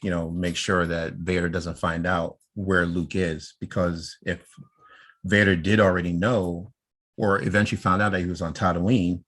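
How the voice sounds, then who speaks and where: Podcast host: American male, low-pitched voice, informal discussion style. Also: flat delivery, normal speed, fairly bright tone.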